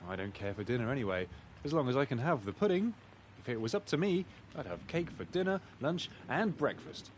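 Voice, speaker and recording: A gentleman pig detective from a video game , played by a man, talking to himself with huge swoops in pitch. He savours every word like a devoted glutton, over faint background noise. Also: very animated, brisk, lightly acted. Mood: musing, greedy. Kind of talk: scripted game dialogue.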